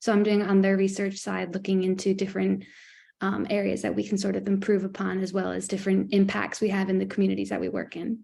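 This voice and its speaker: A woman from Connecticut introduces herself as a researcher on a panel. She speaks in a very flat voice with noticeable vocal fry, matter-of-fact and pausing now and then with "um.". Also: mid-range voice.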